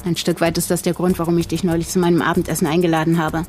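A woman's voice: fairly low pitch, flat delivery, very fast, a little mellow, soft background music, close to an everyday voice. A middle-aged actress playing a cold, snobbish, scheming female politician in an audio drama, with a condescending, matter-of-fact delivery. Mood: confiding, pointed.

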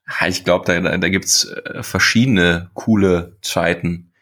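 A man's voice: sits low, fairly even intonation, measured pace, sharp tone. A man co-hosting a podcast in casual, joking banter, often repeating words as he finds his phrasing. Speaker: podcast co-host.

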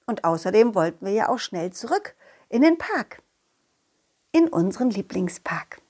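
A woman's voice: medium pitch, moderate pace. A woman voicing a cheerful, confident, loyal talking dog who tells his adventures playfully and expressively in a children's audio play. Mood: cheerful, narrating. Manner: playful, expressive, addressing a companion.

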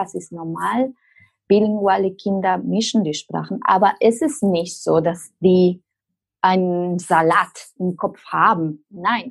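Woman guest, Spanish accent, slow and husky, careful explaining with restarts. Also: on the low side. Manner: explains slowly with many fillers and restarts. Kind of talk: interview answer.

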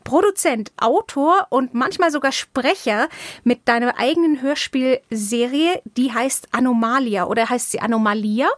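A woman's voice: high-pitched, moderate pace, dry recording, studio quality. A woman hosting a podcast interview, addressing listeners and her guest. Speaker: podcast host. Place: remote connection.

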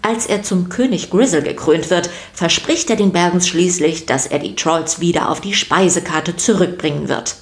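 Woman, lowish pitch, scripted children's podcast narration, expressive storyteller. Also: normal speed, hardly any pauses.

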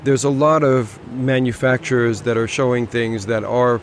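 A man's voice: mid-range voice, fairly even intonation, normal speed, slight background noise. A man casually talking about cars on a motor show floor, rambling a little with restarts. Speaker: car show reviewer. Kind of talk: casual walkaround chat. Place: busy motor show hall.

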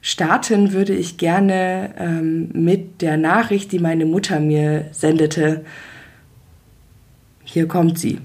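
A woman in her thirties hosting a personal podcast, talking in a relaxed way with hesitant fillers. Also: lowish voice, slow pace. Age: 30s. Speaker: podcast host.

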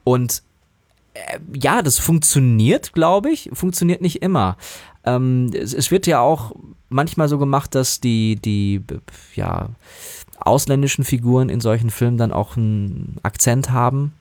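A man who works as a dubbing actor, talking casually and thoughtfully about his craft with many pauses and restarts. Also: lively intonation, a little mellow, no room sound. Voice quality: relatively high voice. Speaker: voice actor guest. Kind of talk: casual interview talk.

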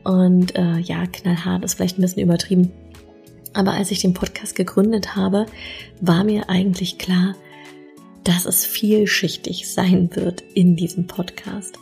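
A woman's voice: lowish voice, little pitch movement, unhurried, vocal fry, dark tone, faint music behind. A woman hosting a podcast, speaking with effusive enthusiasm as she introduces her guest. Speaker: podcast host.

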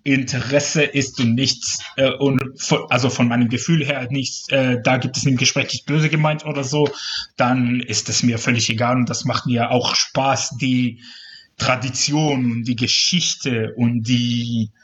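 A man's voice: mid-range voice, fairly even intonation, measured pace, fairly bright tone, highs cut off. A man from Israel, a native Hebrew speaker with an Israeli accent, explaining earnestly as a guest in a casual podcast conversation, searching for words at times. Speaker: interview guest, journalist.

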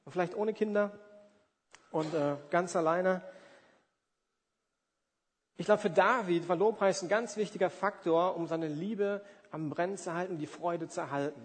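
Male preacher, forties, high-pitched voice, conversational sermon, emphatic, rhetorical questions, long hall reverb. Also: moderate pace, pauses often. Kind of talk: sermon with everyday examples and acted-out asides.

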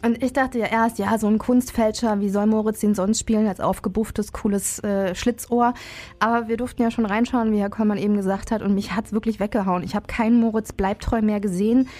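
A woman's voice: medium pitch, fairly even intonation, brisk, mellow. A woman co-hosting a radio show, introducing and praising a guest in an enthusiastic, gushing way. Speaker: radio co-host.

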